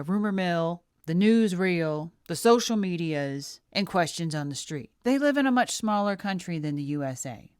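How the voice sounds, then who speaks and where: A woman's voice: on the low side, a bit bright, clean tone, dry recording, clean studio sound. A woman giving blunt, opinionated commentary on body language, sometimes dropping to a whisper. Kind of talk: video commentary.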